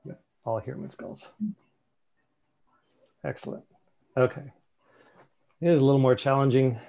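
Guy with a gravelly voice running a zoom slideshow, relaxed, lots of um and you know. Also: medium pitch, measured pace. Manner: relaxed, thinking aloud with fillers and restarts. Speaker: meeting presenter. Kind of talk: casual slideshow presentation on a video call. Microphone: video call.

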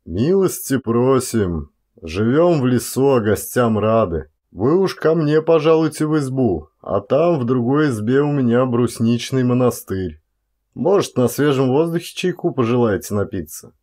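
A man's voice: mid-range voice, animated, normal speed, warm tone, natural voice. Man acting a staid, folksy forest warden. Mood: hospitable, sedate. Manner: folksy, deferential, sedate speech. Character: staid, unhurried male forest warden, rustic and hospitable.

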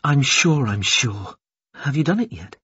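Audiobook role: defiant, embittered young woman, male reader, husky, lifted voice, sharp and emphatic, dry sound. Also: medium pitch, very animated, a little slow, no room sound, lo-fi sound, pitched above the performer's own voice, heavily acted. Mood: impatient. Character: bitter, defiant young enslaved woman pleading and demanding. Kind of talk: audiobook character dialogue.

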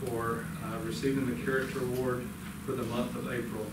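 A man's voice: mid-range voice, flat delivery, brisk. A man formally reading out award winners at a school board meeting. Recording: echoey room from far off, noisy.

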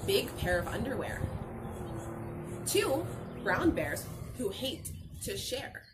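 A young adult woman reading a picture book to children in an expressive, encouraging, sing-song way, pausing to ask questions. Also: mid-range voice, music in the background. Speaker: teacher reading aloud to children. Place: outdoors on a garden patio. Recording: noisy outdoor recording with birdsong.

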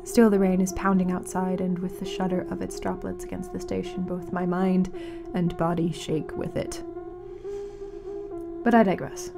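A woman playing a grave, mournful radio announcer in a fiction podcast, speaking solemnly and dramatically with weighted pauses. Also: lowish voice, music in the background, lightly acted. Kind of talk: scripted fiction-podcast narration as a community radio broadcast.

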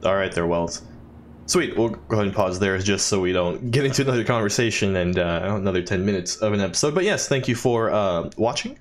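A man's voice: fairly low pitch, lively intonation, fairly quick, slight background noise. A young man giving casual video game commentary as a let's play host, rambling with wry asides.

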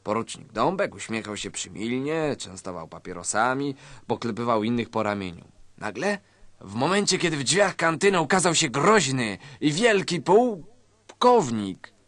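A man's voice: medium pitch, fairly bright tone, no echo. A man narrating a satirical story in a scripted, dramatic storytelling style.

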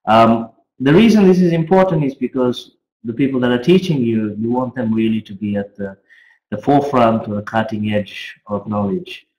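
A middle-aged man gives an informal academic presentation as a finance professor, speaking haltingly with frequent pauses. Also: medium pitch, darkish tone, lo-fi sound. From Australia. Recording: roomy, echoey webcam audio.

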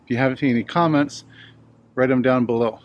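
A man's voice: mid-range voice, steady tone, moderate pace, dull audio, a little hiss or hum. An older man, around his sixties, chatting casually to camera in a folksy, rambling, easygoing way as he hosts a hobby video.

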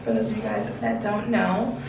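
A woman's voice: mid-range voice, very animated, moderate pace, gravelly. A woman of twenty-one chatting casually and rambling with many fillers while she gets ready in a bathroom, on a camera a few feet away. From Washington, D.C. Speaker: beauty vlogger. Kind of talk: casual get-ready-with-me chat. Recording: roomy bathroom echo, mic at a distance, noisy.

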